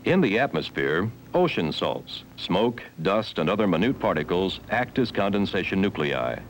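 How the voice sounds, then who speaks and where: Male documentary narrator, low and formal, varied intonation, vintage 1970s film sound. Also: very animated, a little hiss or hum, low-quality recording. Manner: measured, formal, instructional. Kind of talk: scripted educational film narration.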